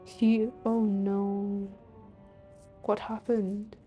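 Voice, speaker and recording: A woman's voice: medium pitch, little pitch movement, very slow, highs cut off. A woman streaming a game, reading its narration aloud in a storytelling way and dropping into offhand casual reactions. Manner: switches between archaic scripted narration and offhand reactions. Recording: faint game music behind. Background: game soundtrack.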